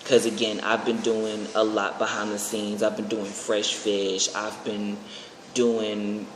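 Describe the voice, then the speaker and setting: The recording is very echoey, with faint noise behind it. An American man with a fairly low voice talks informally, as if giving a personal vlog update. He rambles, repeating and restarting phrases as he goes. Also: completely flat delivery, takes it slowly. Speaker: aspiring singer and video creator. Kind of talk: casual personal vlog announcement.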